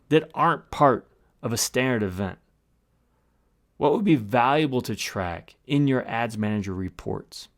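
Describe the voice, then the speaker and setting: A man hosting a podcast, explaining tips in a scripted monologue with emphatic, short punchy phrases. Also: medium pitch. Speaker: podcast host.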